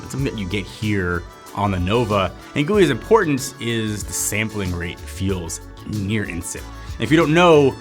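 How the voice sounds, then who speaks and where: Casual, low-voiced man reviewing tech gear. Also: a bit bright. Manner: casual, chatty, frequent "like" fillers. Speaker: tech video host. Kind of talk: sponsored product review.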